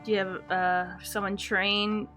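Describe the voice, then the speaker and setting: A woman's voice: medium pitch, level tone, measured pace, bright, forceful tone. A woman chatting casually as she streams a video game live. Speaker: game livestreamer. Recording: stream with game music behind.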